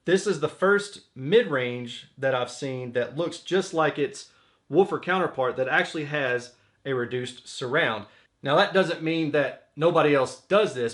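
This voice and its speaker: Male gear reviewer, medium pitch, informal and technical, explanatory commentary delivered conversationally. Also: a bit bright. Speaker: audio reviewer and host. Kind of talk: casual explanatory product commentary.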